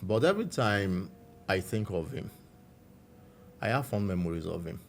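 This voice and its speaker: A man with a Nigerian accent, a guest recounting his life story in a measured, reflective way. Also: low voice, lively intonation, slight background noise. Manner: measured, warm storytelling with frequent "you know".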